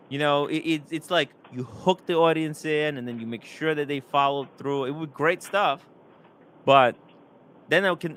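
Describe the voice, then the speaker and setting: A young man hosting a live stream, rambling and animated, reading chat comments aloud. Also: a little high.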